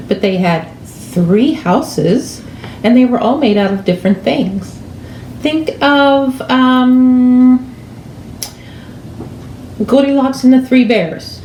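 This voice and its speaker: A woman in her fifties explaining things to children as a teacher, deliberate and with pauses, recorded in a room at home. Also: medium pitch, mellow. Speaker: elementary school teacher. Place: kitchen or dining room at home. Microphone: camera a few feet away. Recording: roomy, echoing, faint background noise.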